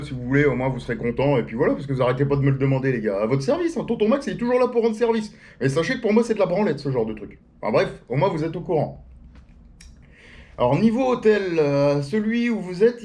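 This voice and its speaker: A man talks straight to his viewers in a loose, slangy way, answering their questions and joking along. His pitch sits in the middle for a man. He often jumps much higher to stress a point. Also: a little mellow. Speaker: theme-park YouTuber answering viewer questions. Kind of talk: casual chat, FAQ to camera.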